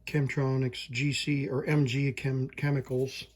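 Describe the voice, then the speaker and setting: Male repair tech, unhurried running commentary, faint background noise. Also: medium pitch, little pitch movement. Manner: slow, plain-spoken, thinking aloud while working. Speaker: repair technician. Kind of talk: hands-on repair walkthrough.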